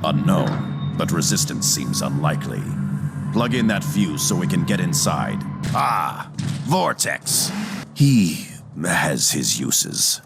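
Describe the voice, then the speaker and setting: A man playing a cold, calculating robot villain in a video game, haughty and measured, with a gravelly voice and formal delivery. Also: very animated, over loud music, heavily acted. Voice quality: very deep, gravelly. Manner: measured, clinical, formal, condescending.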